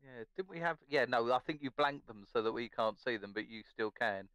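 A man's voice: mid-range voice, lively intonation, brisk, fairly bright tone, clear, resonant tone, dry recording. A man chatting casually and jokingly as a player in an online tabletop game.

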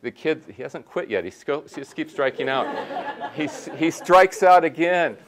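A man's voice: a little high, normal speed, a crowd in the background. A man in his sixties giving a conference talk from a lecture room on a lapel mic, telling stories with many restarts and fillers. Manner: animated storytelling with many restarts and fillers, gestures while talking. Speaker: sociology researcher on a conference panel. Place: lecture room in front of a projection screen.

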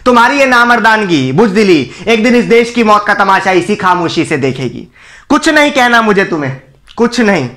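Man acting a high-pitched, defiant condemned man. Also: sing-song, fairly bright tone. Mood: bitter, accusing. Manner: theatrical, scornful, emphatic, with repeated phrases and dramatic pauses. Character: defiant condemned man bitterly berating an apathetic crowd. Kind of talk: dramatic Hindi film monologue recital.

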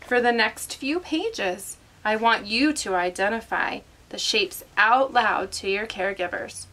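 A woman's voice: a little high, a little slow, sharp tone, low-quality recording. A woman reading aloud to young children as host, instructing and prompting them with questions.

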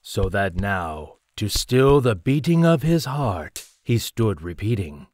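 An actor playing a solemn, brooding narrator of a gothic poem in an audio drama, measured and theatrical. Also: male voice, on the low side, big pitch swings, a little mellow, full character voice. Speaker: story narrator.

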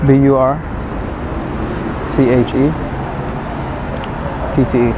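A young man who coaches dating, talking in a low, measured way, sometimes slowing right down. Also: level tone. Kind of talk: street pickup talk and to-camera advice. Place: busy city square outdoors. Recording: street noise and traffic behind.

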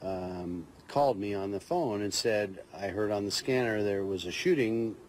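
Halting middle-aged man, low voice. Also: darkish tone, slight background noise. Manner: halting, with restarts. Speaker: interviewed witness. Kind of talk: casual eyewitness account.

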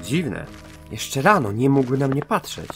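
A man's voice: medium pitch, big pitch swings, measured pace, faint music behind. A man playing a humble, earnest young elven paladin novice with a deferential manner in a fantasy audio drama. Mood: downcast, puzzled.

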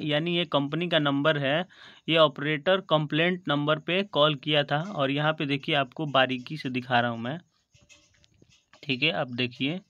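A man's voice: on the high side, sharp tone, dry recording. A man with an Indian accent hosting a tutorial, explaining step by step and often checking that viewers follow. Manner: repeatedly seeks confirmation, addresses viewers as friends. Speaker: tutorial video host. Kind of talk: step-by-step phone tutorial narration.

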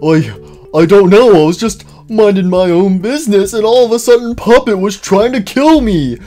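A man's voice: high-pitched, normal speed, warm tone, soft background music, lightly acted. A man voicing a naive, defensive animatronic bear in a comedic cartoon roleplay, stammering and pleading. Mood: confused. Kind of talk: improvised comedic character roleplay.

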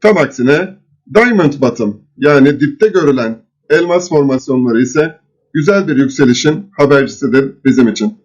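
A man presenting an instructional screen-share lesson, explaining step by step in a measured, didactic way. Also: medium pitch, lively intonation. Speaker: presenter of trading chart lessons. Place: voice-over recorded over screen capture. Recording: dull, lo-fi voice recording.

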